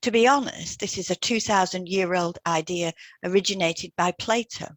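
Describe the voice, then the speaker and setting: Lower-pitched woman, dry close recording. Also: normal speed, no room sound. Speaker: podcast co-host, hypnotherapist. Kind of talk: scripted podcast discussion, read aloud.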